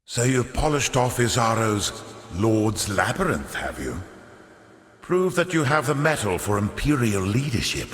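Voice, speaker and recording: An actor playing a wily, vain, centuries-old merchant lord in a video game, pompous and theatrical, with ornate aristocratic diction. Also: male voice, lowish voice, a bit bright. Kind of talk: scripted video game character monologue. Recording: echoey hall with long reverb.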